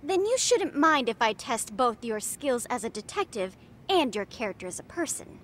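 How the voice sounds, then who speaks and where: A woman's voice: fairly high pitch, big pitch swings, normal speed, a bit bright, a little hiss or hum. A woman playing a self-important teenage schoolgirl who leads a detective club in a video game dub, with pompous, precise, dramatic phrasing. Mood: stern, calculating. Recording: music under the dialogue. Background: music.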